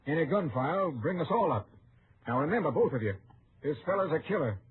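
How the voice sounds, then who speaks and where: An old, narrow-band radio recording has a desperate American western townsman. His fairly high voice swings widely as he earnestly justifies himself. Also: sing-song, brisk, a little hiss or hum. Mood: commanding.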